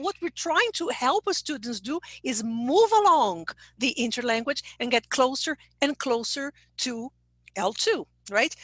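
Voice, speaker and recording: High-voiced woman teacher trainer. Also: fairly bright tone, no room sound. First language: Portuguese. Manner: explanatory, checks in with okay. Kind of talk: online presentation.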